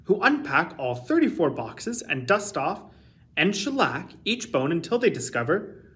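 Male storytime host, thirties, reads to children with expressive, very varied intonation. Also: on the high side, a little slow. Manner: expressive, carefully enunciated storytelling. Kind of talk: reading a children's picture book aloud. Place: small indoor room with shelves.

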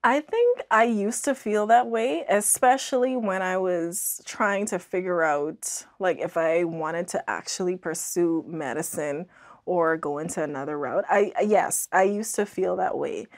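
A woman's voice: lowish voice, a little slow. A young woman from the Bahamas speaking reflectively and earnestly as a guest in a conversational studio interview. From Andros, Bahamas. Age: young adult. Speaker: podcast guest, author. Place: small studio, seated indoors.

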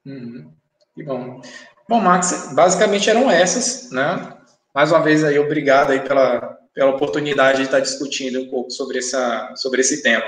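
A man's voice: medium pitch, normal speed, fairly bright tone, reverberant, poor audio. A young man on a video call, debating on a panel in an agreeable, winding way with many tag questions. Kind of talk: panel discussion.

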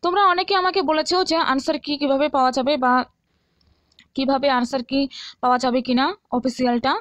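A woman tutor with a very high-pitched voice walks listeners through exam questions. She reads each item out in an instructional way and often adds little checks that they follow. The sound is dry and close, with little room echo. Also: steady tone, quick pace, fairly bright tone. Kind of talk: instructional walkthrough, reading out questions and answers.

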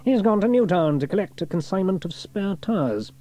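A fussy yet kindly older station officer from a children's storybook, voiced by a man. He speaks emphatically in a raised, very animated voice. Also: sits high, moderate pace, pitched above the performer's own voice. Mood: matter-of-fact.

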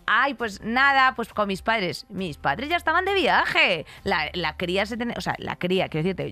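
A woman in her thirties with a fairly low voice and a Spanish accent from Spain. She chats candidly as a podcast host, blunt and emphatic, swearing freely. She sits close to a studio microphone. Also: a bit bright. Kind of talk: candid, opinionated podcast chat. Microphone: close studio microphone on arm.

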